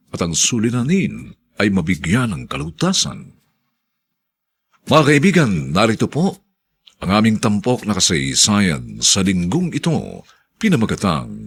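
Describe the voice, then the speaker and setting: Husky, low-voiced man with sweeping dramatic delivery. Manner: dramatic, drawn-out emphatic phrasing. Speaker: radio drama announcer and narrator. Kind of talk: solemn, theatrical scripted narration.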